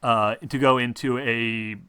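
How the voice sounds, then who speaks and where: A man's voice: medium pitch, steady tone, slow pace, sharp tone, smooth, no echo. A man acting as game master, narrating and explaining rules in a casual, rambling, informal way.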